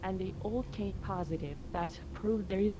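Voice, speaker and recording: Woman, from Iraq, native Arabic speaker, earnest student, halting English, clear background noise. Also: medium pitch. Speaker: student, participant. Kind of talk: earnest explanation.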